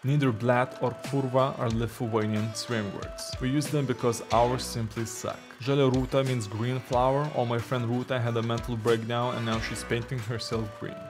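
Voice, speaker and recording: A man giving a deadpan, sarcastic voice-over for a comedy video. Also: mid-range voice, normal speed, hardly any pauses. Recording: video voice-over with music behind.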